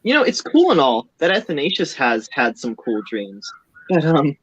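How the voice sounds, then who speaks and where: Man with a lively, dull-sounding voice. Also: a little high, moderate pace, slightly rough, dry recording. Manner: wry, sarcastic quips. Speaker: player. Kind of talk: casual chat at a tabletop game.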